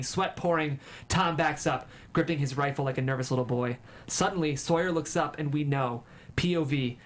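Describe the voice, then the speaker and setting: A man reading stage directions as the narrator of a screenplay table read. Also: on the high side. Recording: faint room noise.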